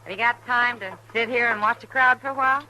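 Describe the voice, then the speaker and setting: A woman's voice: on the high side, quick pace, sharp tone, a little hiss or hum, poor audio. An actress playing a worldly, frank and chatty saloon woman in an old-time radio Western. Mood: relaxed, chatty. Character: worldly, sociable frontier saloon woman, plain-spoken.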